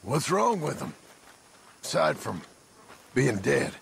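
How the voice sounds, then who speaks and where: An actor playing a gruff, sardonic American cowboy outlaw with a gravelly voice in a western video game. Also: male voice, medium pitch, sing-song, slow pace, fairly bright tone, slight background noise, heavily acted. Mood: suspicious.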